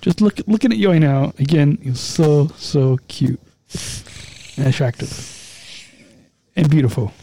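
Male podcast co-host, high mellow voice, dry close sound, cheerful rambling sign-off. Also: animated, a little slow, gravelly, long pauses. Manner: repetitive, stumbling, upbeat.

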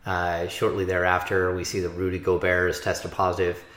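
A man hosting a casual sports interview, asking rambling, halting questions with restarts, recorded in a roomy, echoey space. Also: sits low, monotone, fairly bright tone. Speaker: podcast host, sports interviewer.

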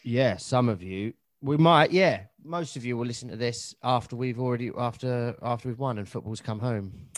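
A man's voice: mid-range voice, moderate pace, hardly any pauses, dry recording. A man co-hosting a casual podcast, swearing freely in blunt banter. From England. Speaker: podcast co-host. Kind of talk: casual chat.

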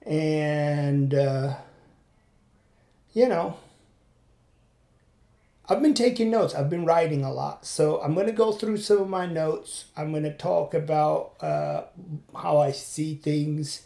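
A man's voice: on the high side, animated, slow, vocal fry, long pauses, dark tone, reverberant. A man from Turkey hosting a casual podcast monologue while running, rambling and reflective with many fillers. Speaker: podcast host and comedian.

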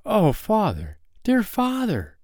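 A man's voice: a little high, very animated, normal speed, clear, resonant tone, no room sound, studio quality, voice pushed up. A man voicing an earnest young boy in a storybook, with an emphatic, pleading manner. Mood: desperate pleading. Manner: emphatic, pleading, repeats words.

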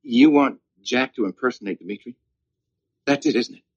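A man's voice: mid-range voice, normal speed, no room sound, dull audio, natural voice. An actor playing a man sharply questioning a plot in disbelief. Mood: incredulous. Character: incredulous man challenging a plot.

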